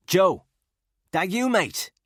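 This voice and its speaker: A man voicing a smooth-talking, chummy ex-paratrooper with a Scouse accent, pitched well above his own husky, low narrating voice. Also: big pitch swings, takes it slowly, a bit bright, no room sound, clean studio sound, full character voice. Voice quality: oozy, chummy. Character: affable scouse ex-para male, smooth-talking.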